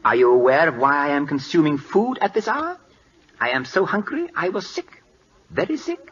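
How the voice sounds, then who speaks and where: Imperious king of Siam, highish swooping pitch, clipped formal broken English, muffled old radio drama. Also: big pitch swings, normal speed, fairly bright tone, slight background noise. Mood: weary, candid. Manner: formal, clipped foreign English with dropped articles. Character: proud, imperious King of Siam, eager to seem learned. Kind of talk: 1940s radio drama acting.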